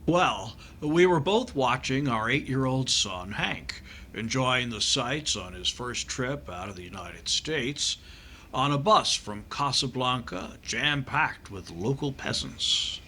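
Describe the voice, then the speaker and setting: A man's voice: mid-range voice, lively intonation, slow pace, bright, forceful tone. A man playing a fussy, naive, folksy American doctor with a hesitant manner in an old-time radio comedy, on a noisy recording. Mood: earnest recounting.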